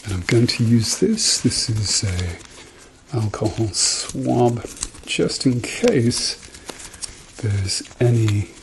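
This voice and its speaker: Middle aged guy doing asmr doctor roleplay, slow n creaky, reassuring, bit of noise and clicks behind him. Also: medium pitch. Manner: reassuring, murmured repeated encouragement. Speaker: ASMR role-play performer playing a doctor. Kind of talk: ASMR medical role-play, softly spoken.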